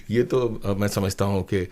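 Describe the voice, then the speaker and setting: Older male interviewee, fairly low voice, polite, halting delivery with emphatic repetition. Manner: courteous, halting, repeats words for emphasis. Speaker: interview guest, broadcaster and playwright. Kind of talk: conversational interview.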